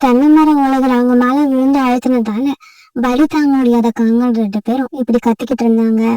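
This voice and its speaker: A woman's voice: on the high side, steady tone, a little fast, mellow, dry recording, far from the mic, rough recording. A woman narrating a scripted children's story as the storyteller.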